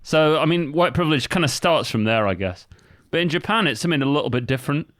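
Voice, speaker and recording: A man's voice: on the high side, animated, fast talker, fairly bright tone, dry recording, clean studio sound. A man co-hosting a podcast, explaining things in an easygoing way with lots of fillers. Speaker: podcast co-host. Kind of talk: casual chat.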